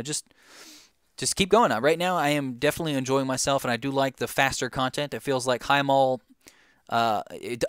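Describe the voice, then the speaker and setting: Young guy rambling thru viewer questions, smooth clear voice, dry close sound, lots of ums. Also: mid-range voice, no echo. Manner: rambling, many fillers and restarts. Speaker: gaming YouTuber answering viewer questions. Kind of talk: casual Q&A chat.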